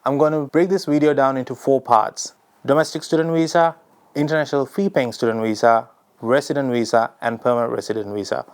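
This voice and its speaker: A young man from Sri Lanka talks to camera about his own path abroad. His voice is smooth and clear at a middle pitch. He speaks in a relaxed, chatty way, dropping in plenty of ums and uhs. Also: fairly quick. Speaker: immigration advice vlogger. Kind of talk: casual talk to camera.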